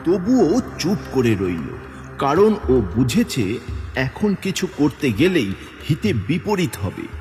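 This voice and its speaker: Slow, animated male horror storyteller. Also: a little high. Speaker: narrator. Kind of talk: dramatic scripted horror story narration. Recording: long hall reverb with music underneath.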